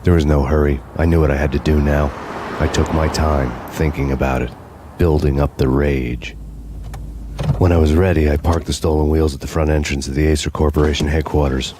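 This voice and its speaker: Brooding hardboiled cop narrator, really low flat voice, grim noir revenge stuff, video game. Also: male voice, brisk, background noise. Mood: grim, determined. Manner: deadpan, grim. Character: brooding, vengeful hardboiled cop narrating his own story.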